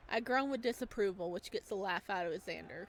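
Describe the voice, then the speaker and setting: A woman hosts a gameplay session, reading a visual novel aloud. Her voice sits at a middle pitch. She moves between the written story and off-the-cuff asides. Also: fairly quick, a little hiss or hum.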